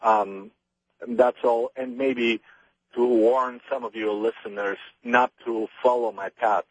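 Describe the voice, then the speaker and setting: A man's voice: mid-range voice, fairly even intonation, slow pace, husky, dry recording, rough recording. A young man whose first language is Bulgarian, calling into a radio show and speaking hesitantly with many ums. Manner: hesitant, lots of ums, earnest. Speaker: caller. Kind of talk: phone-in call.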